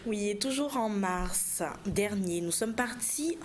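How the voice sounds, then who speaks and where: A female news presenter introducing a report in a measured, scripted delivery. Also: mid-range voice, lively intonation, noisy background.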